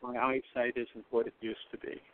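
An older man chatting casually as a guest, with a halting, hesitant way of talking. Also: medium pitch, little pitch movement, clean tone, no echo. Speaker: guest actor. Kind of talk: casual chat. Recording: hissy, noisy phone-like line.

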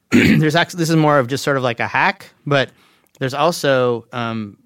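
Man, podcast guest, mid pitch, hesitant, dry sound. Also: moderate pace, a bit bright, dry recording. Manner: hesitant, searching for words. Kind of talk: casual chat.

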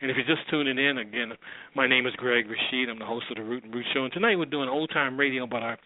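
A man's voice: medium pitch, quick pace. An American man hosting a radio show, introducing old programs in a casual, rambling, conversational way. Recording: roomy, phone-like narrow sound.

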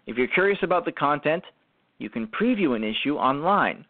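Man, radio host, commentary, halting delivery with repeated phrases, dry close sound, muffled lo-fi recording. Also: a little high, sing-song, no room sound. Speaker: radio show host and writer-performer. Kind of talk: show commentary, semi-prepared talk. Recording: muffled lo-fi audio.

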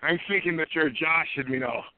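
A man's voice: fairly high pitch, brisk, no echo, natural voice. A man putting on a gold-hungry old Irishman. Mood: insistent. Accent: put-on Irish. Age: older. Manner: insistent, confused, calls others "lassie". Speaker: caller. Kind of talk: prank call. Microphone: telephone. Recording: tinny phone line, dry.

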